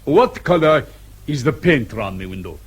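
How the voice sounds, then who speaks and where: An actor playing a suave, sceptical police colonel in a radio drama, formal, precise and sarcastic. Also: male voice, medium pitch, very animated, normal speed, slightly rough. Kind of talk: British radio drama acting.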